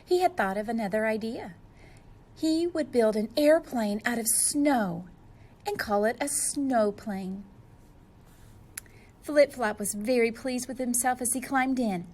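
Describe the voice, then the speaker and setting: Slow-paced, high-voiced woman reading to children. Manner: expressive, addressing children directly. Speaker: storybook reader for young children. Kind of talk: children's story read-aloud.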